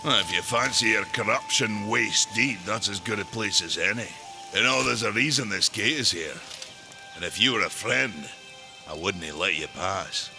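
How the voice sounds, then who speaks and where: An actor playing a gruff, proud old warrior of a fantasy maker race in a video game, wry and challenging. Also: male voice, lowish voice, moderate pace. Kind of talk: dramatic fantasy game acting. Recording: loud music behind the voice.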